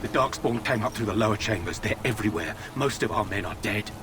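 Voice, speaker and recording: An actor playing a frightened, urgent soldier fleeing monsters in a fantasy video game. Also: male voice, on the low side, quick pace, background noise, close to an everyday voice. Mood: panicked, desperate.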